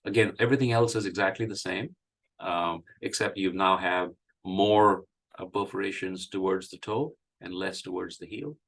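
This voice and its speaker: A man with a cold, hoarse, giving a halting technical presentation with many fillers. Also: low-pitched, steady tone, fairly bright tone. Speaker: engineering presenter. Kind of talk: technical presentation at an online meeting.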